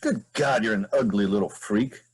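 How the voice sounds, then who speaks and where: A man playing an eerie, taunting half-moose mutant in a comic stage play, with a drawn-out, deliberate delivery. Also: lowish voice, breathy edge. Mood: disgusted mockery.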